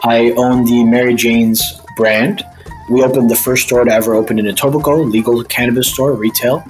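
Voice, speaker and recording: A man of medium pitch speaks casually as a guest being interviewed about his own experience. Faint music sits behind his voice. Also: fairly even intonation, moderate pace, poor audio. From Egypt, by birth. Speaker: interview guest, retail store owner.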